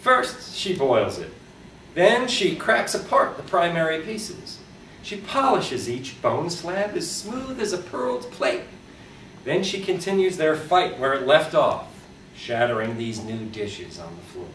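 Male author, theatrical and wry horror storyteller, lively pitch movement, echoey live room with faint noise. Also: a little slow. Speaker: author reading his own fiction. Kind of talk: dramatic live reading of short horror fiction. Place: live reading at a convention, indoors. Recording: roomy, distant live recording with background noise.

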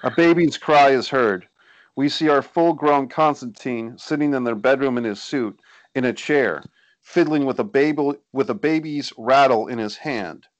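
A man's voice: mid-range voice, moderate pace, no echo, lo-fi sound. A man reading stage directions as scripted narration at a screenplay table read, recorded indoors over a video call.

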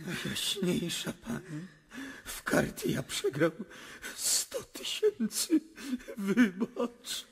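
An actor playing a meek, evasive young lover of an empress in a radio drama, deferential and hesitant. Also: male voice, sits high, huge pitch swings, very slow, vocal fry, husky, long reverb tail, heavily acted. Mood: apologetic. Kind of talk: theatrical radio drama acting.

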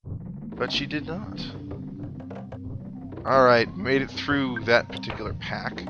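A man's voice: medium pitch, takes it slowly, highs cut off. A man giving casual video game commentary as a let's play host, rambling and thinking aloud with mild exclamations. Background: video game music.

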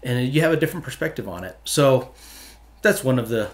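A man's voice: mid-range voice, expressive, fairly quick. A middle-aged man from Hawaii, a photographer, answering interview questions earnestly with many restarts, in a small studio room at a desk mic. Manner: earnest, talks with his hands, many restarts. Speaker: photographer guest. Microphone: desk mic on stand.

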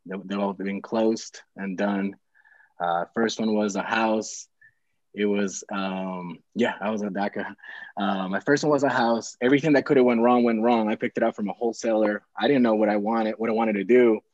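A man's voice: fairly low pitch, steady tone, normal speed, a little mellow, dry recording. A young man from Peru chatting casually on a video call from a small room at home, telling a rambling story full of fillers and restarts. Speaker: real estate investor guest. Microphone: video call with earbuds. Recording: dull, dry video call audio.

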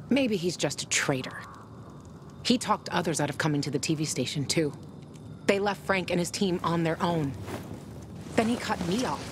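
A woman playing a tough, guarded fighter in her thirties in a video game, speaking in a hushed, wry and bitter way. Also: on the low side, noisy background. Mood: bitter, resolute. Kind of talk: intimate cinematic game dialogue.

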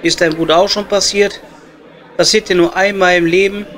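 A man's voice: high-pitched, fairly even intonation, normal speed, fairly bright tone. A man playing a crude, jovial coal miner with a Ruhr German accent in an improvised comedy audio play. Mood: joking, blunt. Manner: blunt, joking, slangy. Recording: music behind the voice.

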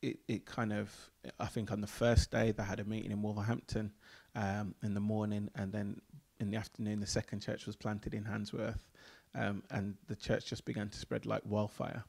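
A man in his thirties speaks with a low, gravelly voice. He talks easily and conversationally, laughing now and then, into a handheld mic in a small room. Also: moderate pace, few pauses. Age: 30s. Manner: relaxed, laughs often, many ums. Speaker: church leader, mission coordinator. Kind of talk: casual conversation.

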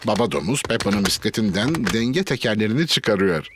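A man's voice: on the low side, lively intonation, a little fast, noisy background, natural voice. A man voicing a friendly narrator of a children's cartoon, telling the story to small children in scripted lines. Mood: bright, explanatory. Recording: music behind the voice.

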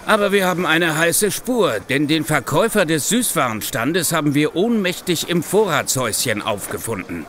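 Gruff, animated police chief inspector. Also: male voice, a little high, normal speed, hardly any pauses, slight background noise. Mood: grim, certain. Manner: gruff, sarcastic, insistent. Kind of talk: German audio drama acting.

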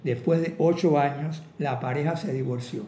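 A man's voice: fairly high pitch, normal speed, roomy sound, heavy background noise. An older man, a film critic, reading a prepared lecture at an office desk, with occasional restarts. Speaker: film critic giving a talk. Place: office with a desk and cabinets, indoors.

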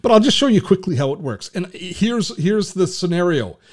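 A Canadian man in his fifties hosting a screen-share software tutorial from his home office, chatty and enthusiastic with self-deprecating asides. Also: high-pitched, very animated, moderate pace. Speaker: tech channel host. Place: home office with shelves and artwork. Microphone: large studio mic on a boom arm.

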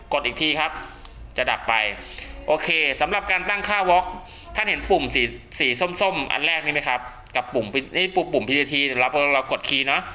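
A man's voice: a little high, bright, forceful tone. A man giving a casual spoken how-to demonstration, often checking that listeners follow along. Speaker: radio hobbyist presenter.

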